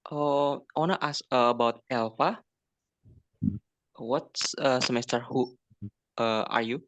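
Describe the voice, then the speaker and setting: A young man asks questions in a halting way, filling gaps with "uh" and repeated words. His voice sits in a middle pitch and sounds dry and close. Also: fairly even intonation, a bit bright, no room sound, lo-fi sound. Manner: halting, many fillers. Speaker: student debater asking questions. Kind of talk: casual chat.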